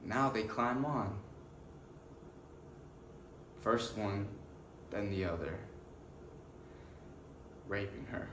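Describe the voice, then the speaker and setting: An actor playing an earnest, anguished defense lawyer pleading to a jury, delivering a deliberate, emotional courtroom monologue with weighted pauses. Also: male voice, lowish voice, a bit bright. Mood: grim, anguished. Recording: echoey room with faint background noise.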